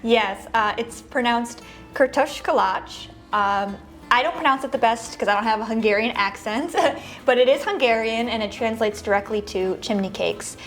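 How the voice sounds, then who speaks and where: A woman's voice: fairly high pitch, normal speed, fairly bright tone, soft background music. Cheery woman bakery owner chatting in an interview, little laughs, lots of ums. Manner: cheerful, with light laughs and fillers. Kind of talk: casual interview answers.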